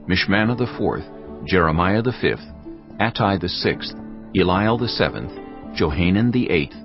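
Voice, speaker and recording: A man narrating scripture from a script in a measured, solemn manner. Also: deep voice, muffled recording. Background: background music.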